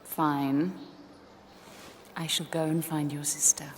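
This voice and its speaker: Doting Roman mother, low-pitched. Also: little pitch movement, slow pace. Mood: resigned. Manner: affectionate. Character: tender, doting Roman mother of a grown soldier. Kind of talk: dramatic video game cutscene acting. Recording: reverberant space, faint noise.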